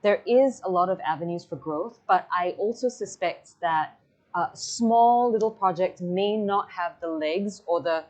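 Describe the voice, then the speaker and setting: A woman with a slightly low voice and a Singaporean English accent speaks as a panel guest over a video call. She makes her points in an articulate, measured and openly opinionated way. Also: darkish tone. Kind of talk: panel interview chat.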